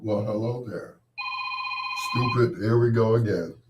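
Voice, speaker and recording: A man hosting an on-air monologue, talking with a cold and a partly lost voice, irritated and dismissive, his words often trailing off quietly. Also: lowish voice, unhurried, heavy background noise. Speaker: host.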